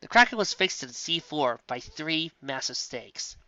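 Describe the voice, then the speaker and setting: A man reading fan fiction aloud in an animated way, giving every character a voice. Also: fairly high pitch, normal speed, dry recording. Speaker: audiobook reader. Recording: dull lo-fi audio, dry.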